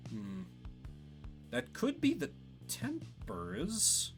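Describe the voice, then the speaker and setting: A man's voice: high-pitched, huge pitch swings, drawn-out words, faint music behind. A man giving casual game commentary, thinking aloud and reading out story narration as he plays. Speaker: streamer playing and solo-voicing a story game.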